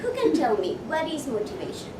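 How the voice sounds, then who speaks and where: A woman's voice: high-pitched, wildly swooping pitch. A woman from Ecuador, presenting a motivational workshop and asking her audience questions. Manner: slow and deliberate, asks the audience questions. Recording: echoey room, mic far off, noisy.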